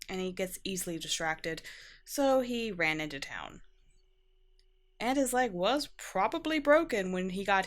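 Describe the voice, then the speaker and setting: A woman playing a flustered but caring young vampire in a conversational ASMR roleplay, halting and defensive with sarcastic asides. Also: lowish voice, a bit bright.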